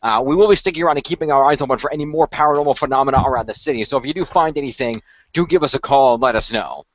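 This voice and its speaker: Fast-talking man in a dry, dull recording. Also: medium pitch, no echo. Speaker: role-play player. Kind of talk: improvised in-character role-play dialogue.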